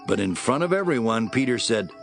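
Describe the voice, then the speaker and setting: A man's voice: medium pitch, very animated, brisk, vocal fry, soft background music. A man narrating scripture as a scripted dramatic reading.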